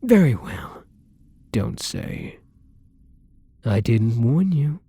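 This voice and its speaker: A man playing a charming, bloodthirsty vampire lover in an intimate audio roleplay, with a breathy, husky voice that often drops to a whisper and courtly, theatrical endearments. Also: medium pitch, very animated, very slow, mellow, no room sound, heavily acted. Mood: threatening.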